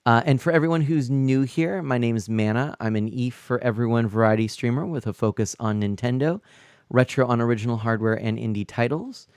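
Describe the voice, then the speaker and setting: A man chats casually on his own live stream, upbeat and talkative, with easy laughs and plenty of fillers. His medium-pitched voice sits close to a streaming microphone in a dry, dead room. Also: a little fast, a little mellow, smooth, no room sound. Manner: upbeat and chatty, laughs often, many fillers.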